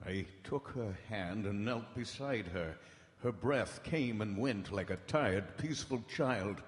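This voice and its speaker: An actor playing a grave, anguished doctor who reads his private journal in solemn, measured radio horror style. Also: lowish voice, animated. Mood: grave, horrified. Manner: solemn, dramatic, measured storytelling. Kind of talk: old-time radio horror drama acting. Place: large hall stage before live audience. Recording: echoey hall, live stage broadcast.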